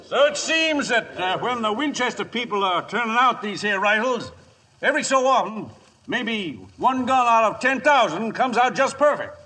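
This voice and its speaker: A man's voice: very high voice, animated, normal speed, sharp tone, exaggerated voice, low-quality recording. An actor with an American accent playing a genial, folksy but firm Old West lawman in a radio western, with an easygoing drawl. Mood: announcing proudly.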